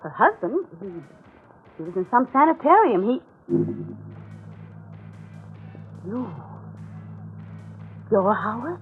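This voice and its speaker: A woman's voice: fairly high pitch, sing-song, a little slow, soft background music. An actress playing a frightened wife in a 1940s radio drama, her delivery breathless, hesitant and pleading. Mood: alarmed, uneasy. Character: frightened wife cornered at home by an intruder. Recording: tinny vintage radio recording.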